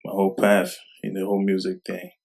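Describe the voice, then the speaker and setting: A young man from Cameroon, raised in Germany, speaks as a rapper in a casual interview. His voice sits low and stays flat, with little rise or fall. He talks softly and haltingly, leaning on "you know". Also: creaky. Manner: soft-spoken, halting, many fillers like "you know".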